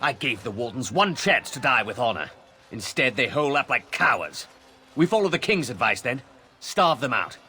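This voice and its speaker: A man's voice: medium pitch, very animated, normal speed, bright, forceful tone, slightly rough, full character voice. An actor playing a ruthless male army commander in a fantasy game, barking orders with contempt. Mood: cold contempt. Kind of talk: fantasy video game cutscene.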